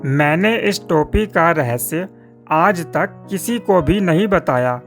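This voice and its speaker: Slow-speaking, wily village barber. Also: male voice, fairly high pitch, animated, faint music behind. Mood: sly confidence. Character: wily, polite poor village barber man. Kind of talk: cartoon story dialogue.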